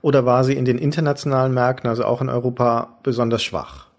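A man's voice: medium pitch, fast talker. A man in a casual podcast discussion, politely cutting in with questions.

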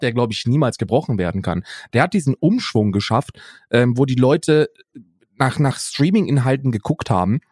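Male podcast co-host, young, German accent. Mid-pitched, casual, slangy and emphatic, recorded dry and close. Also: a little mellow, breathy edge, dry recording. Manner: slangy, emphatic, repeats phrases. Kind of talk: casual unscripted podcast banter.

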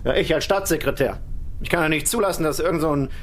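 An actor playing a smug, middle-aged state secretary in a German political radio drama, officious and theatrically self-satisfied. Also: male voice, fairly high pitch, fast talker, slight background noise, lightly acted. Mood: self-important, indignant.

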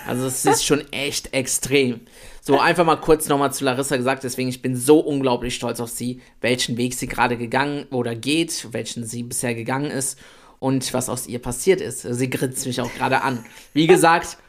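A man in his late twenties co-hosting a podcast, talking in a chatty, energetic way about building an online business. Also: mid-range voice, normal speed. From Italy. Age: 20s. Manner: energetic, chatty, swears lightly. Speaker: podcast co-host. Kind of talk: casual podcast chat.

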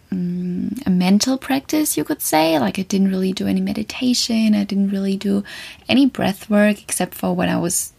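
A woman's voice: medium pitch, gravelly, darkish tone, husky. A woman in her twenties with a German accent, a native German speaker, hosting a casual podcast from a small wardrobe, chatty with frequent ums. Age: 20s. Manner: chatty, laughs between phrases, frequent ums. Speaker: podcast host. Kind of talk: casual solo podcast talk.

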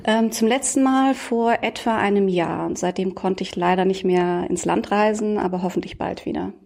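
A woman's voice: mid-range voice, moderate pace, vocal fry, darkish tone, a little hiss or hum. A woman in her thirties, born in Iran and raised in Germany, answering interview questions as a researcher with fluent explanations and frequent fillers.

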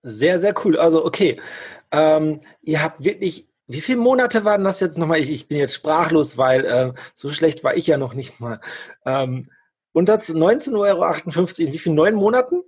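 A man's voice: a little high, lively intonation, moderate pace, a little mellow, breathy edge. A man hosting a podcast, chatting casually and teasing his guests in an informal way. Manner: joking, informal. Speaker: podcast host. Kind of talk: casual interview chat.

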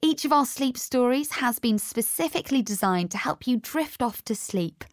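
A woman's voice: a little high, expressive, normal speed, no room sound. A woman presenting a scripted welcome as a podcast host.

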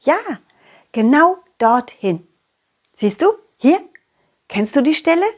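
A woman's voice: high-pitched, expressive, slow pace, darkish tone. A woman voicing a confident, loyal, cheerful dog who talks affectionately and encouragingly to children in an audio story. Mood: excited, friendly. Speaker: puppeteer hosting a children's audio story podcast.

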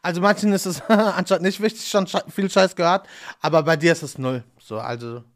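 A man's voice: high-pitched, a little fast. A man hosting a casual podcast interview, chatting loosely with lots of fillers and small self-deprecating jokes. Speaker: podcast host.

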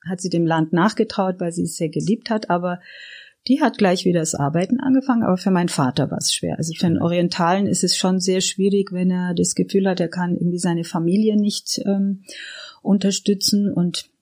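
Casting: middle-aged woman, lower-pitched, interview guest telling personal memories in a reflective, searching way. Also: a little fast, a little mellow. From Iran. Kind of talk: casual personal reminiscence.

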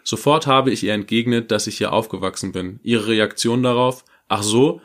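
A man's voice: on the low side, normal speed. A man co-hosting a casual political podcast, speaking bluntly and informally, appealing directly to listeners and reading submitted stories aloud. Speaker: podcast co-host.

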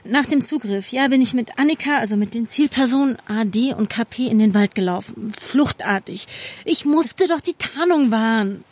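A woman playing a distraught, guilt-ridden young undercover policewoman in an audio drama, halting and stammering with a breathy, unsteady voice. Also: a little high, lively intonation, a little mellow, no room sound. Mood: defensive, agitated. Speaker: actor in a fiction podcast. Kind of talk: naturalistic German audio drama.

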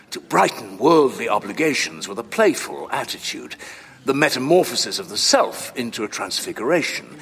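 An actor playing a courteous, long-winded, analytical doctor in a formal, measured audio drama performance. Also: male voice, mid-range voice, fairly bright tone, big-room sound, exaggerated voice. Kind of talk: full-cast audio drama acting.

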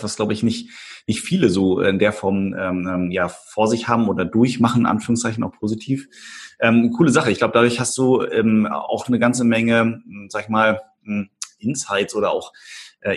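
A man's voice: lowish voice, breathy edge. A man hosting a podcast interview, speaking hesitantly with many fillers and enthusiastic reactions. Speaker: podcast host.

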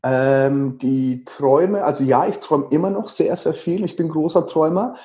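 Thirties man, Franconian accent, mellow voice pitched a touch high, dull lo-fi sound. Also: moderate pace. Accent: Franconian German. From Lower Franconia, Germany. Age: 30s. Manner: lively, jokey, rambling with many fillers. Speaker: guest, travel speaker. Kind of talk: casual interview talk.

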